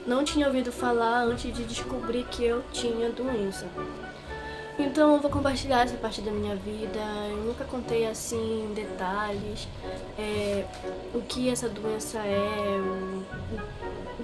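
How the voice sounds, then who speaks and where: A young Brazilian woman vlogging casually, with a Brazilian Portuguese accent and a somewhat high voice. She rambles along with plenty of fillers, talking straight to her viewers. Background music plays clearly under her voice. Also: fairly even intonation, a little slow, poor audio. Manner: chatty, rambling, addresses viewers directly with frequent fillers. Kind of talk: casual personal storytelling to camera.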